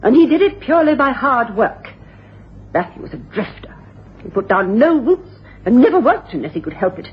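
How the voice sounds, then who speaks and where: Adamant, high-voiced scornful widow. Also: female voice, big pitch swings, normal speed, slightly rough, full character voice. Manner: emphatic, disdainful, blunt. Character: adamant, scornful sister, a well-bred widow. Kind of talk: 1980s radio drama acting. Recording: dull, noisy old radio broadcast.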